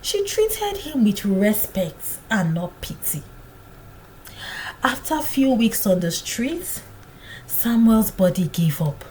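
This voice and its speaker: A woman narrating a scripted folktale in a dramatic, deliberate storytelling manner. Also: medium pitch, big pitch swings, mellow, a little hiss or hum, low-quality recording.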